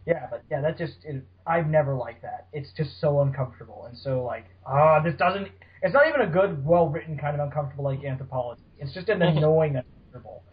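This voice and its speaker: A man's voice: a little high, expressive, fast. A man hosting a casual podcast chat, sarcastic and mock-dramatic, swearing freely. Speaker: podcast host. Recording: dull, lo-fi podcast audio.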